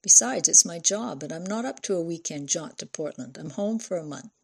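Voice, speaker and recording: A woman voicing an exasperated, needling father in an audiobook, brisk and sarcastic. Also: lowish voice, lively intonation, gravelly, husky, exaggerated voice.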